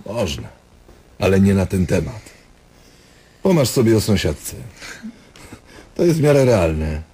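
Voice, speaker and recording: A diabolical doctor acted by a man in a radio drama, low and very husky. He taunts with sarcasm, taking his time and swinging theatrically between notes. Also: very animated, measured pace, warm tone, exaggerated voice. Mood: mocking, goading. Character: diabolical, mocking male doctor toying with his patient.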